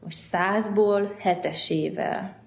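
A woman's voice: mid-range voice, vocal fry. A woman giving step-by-step instructions and questions to a patient, in simple, encouraging phrases, as an examiner running a test. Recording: roomy, noisy on-location recording.

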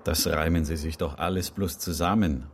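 An actor playing a sceptical official in a radio drama, formal and dismissive in manner. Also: male voice, a bass voice, fairly even intonation, moderate pace, husky, heavily acted. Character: sceptical male official who trusts only evidence.

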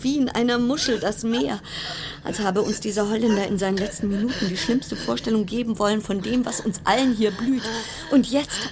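A woman's voice: on the high side, close to an everyday voice. An actress as an anxious, sardonic woman over background noise. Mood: oppressed, tense. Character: anxious, sardonic woman quarantined aboard a cruise ship. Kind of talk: radio play interior monologue.

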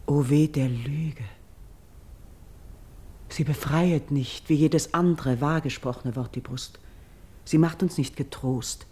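An actress playing a noble, devout and anxious priestess in a classical radio verse drama, speaking in a solemn, declamatory way. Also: female voice, a bass voice, dark tone, slightly rough, exaggerated voice.

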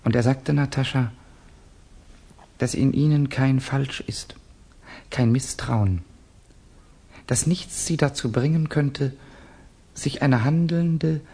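Dark, gravelly, haunted young man. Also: mid-range voice, exaggerated voice. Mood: haunted, intense. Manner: brooding, halting, intense. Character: guilt-tormented young Russian man, haunted, brooding. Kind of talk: 1960s German radio drama acting.

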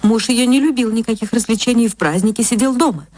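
A woman reading literary fiction as an actress narrator, expressive and theatrical, moving between hushed and swelling phrases. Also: a little high, fast, dry recording.

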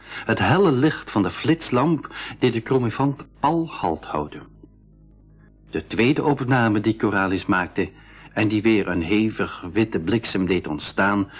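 A man playing an explorer who retells a jungle adventure as dramatic radio storytelling, with a husky voice. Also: fairly low pitch. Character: male expedition member retelling a jungle adventure. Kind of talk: dramatic scripted storytelling narration. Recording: dull radio recording, music behind.

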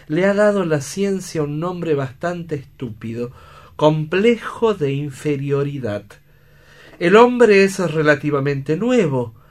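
An actor playing a learned, analytical doctor in a radio play, with deliberate, lecturing delivery. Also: male voice, a little high, lightly acted. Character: learned, measured male doctor, gravely analytical. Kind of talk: theatrical radio play acting.

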